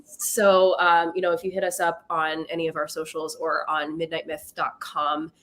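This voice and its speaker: A woman in her thirties talking casually as a podcast guest, telling stories in an animated way. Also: normal speed. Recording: low rumbly background noise.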